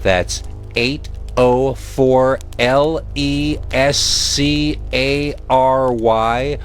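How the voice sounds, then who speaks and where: A man's voice: mid-range voice, fairly even intonation, drawn-out words, soft background music. A man with an American accent narrating a scripted scary story as a podcast host.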